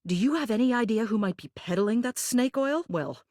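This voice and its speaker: A woman's voice: a little high, animated, fairly quick, darkish tone, no room sound, clean studio sound, lightly acted. A woman voicing a dignified, protective mayor in a video game, with formal, genteel diction and an authoritative manner. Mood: resolute, official. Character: dignified, protective woman mayor, an old living household object. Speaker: voice actor in a dating sim game. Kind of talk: polished video game character acting.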